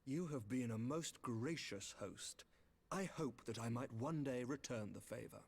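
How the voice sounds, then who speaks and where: Courtly knight lord, husky gravelly voice, slow and polite, game scene. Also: male voice, medium pitch, darkish tone. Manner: measured, courtly. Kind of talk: video game voice acting.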